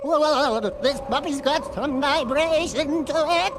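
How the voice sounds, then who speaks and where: A man's voice: very high-pitched, a little slow, over loud music. A man voicing a cocky little animal sidekick in a video game, with a brash, chatty, wisecracking delivery. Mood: cocky, joking. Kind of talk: comic video game character acting.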